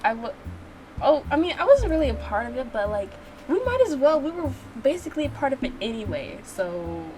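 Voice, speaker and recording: A woman giving blunt, slangy, expressive reactions as she plays through a game as a channel host. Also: sits high, fairly quick, slight background noise, rough recording. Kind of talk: playthrough reaction, reading dialogue aloud with casual commentary. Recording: music behind the voice. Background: music.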